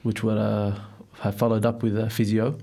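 Young aussie guy, guest in a studio, pauses a lot, low even voice. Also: vocal fry. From Melbourne, Australia. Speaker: interview guest. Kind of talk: conversational interview answers.

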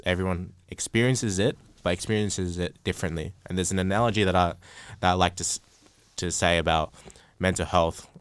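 A young man hosts a podcast panel with a very low voice. He talks in a measured way, leaning on analogies and scattering "uh" fillers as he goes. The sound is dry and close. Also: no room sound. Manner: frequent "uh" fillers. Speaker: podcast host. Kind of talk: casual panel discussion.

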